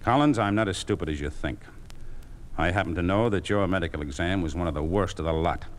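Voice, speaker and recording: An actor playing a proud, principled surgeon in an old radio drama, formal, firm and indignant. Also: male voice, deep voice, fast talker, slight background noise, natural voice. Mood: scornful. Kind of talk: 1950s radio drama acting. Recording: old 1950s radio recording.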